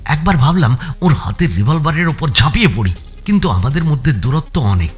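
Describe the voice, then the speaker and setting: A man narrating a suspense thriller in a dramatic, expressive audio story style, with music behind him. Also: on the high side, brisk. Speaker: narrator reading a first-person thriller.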